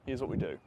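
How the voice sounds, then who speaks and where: A young man teaching painting on a live stream, enthusiastic and chatty, his voice a bit strained by the wind, recorded on a phone outdoors on a beach. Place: sandy beach outdoors on a sunny day. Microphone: phone held at arm's length. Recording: phone audio with clear wind and outdoor noise.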